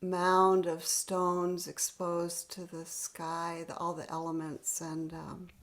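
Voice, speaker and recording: A woman gently leading a spiritual meditation practice over a video call, speaking softly and often half-whispering. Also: on the low side, completely flat delivery. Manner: gentle, unhurried, laughs lightly. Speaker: meditation leader.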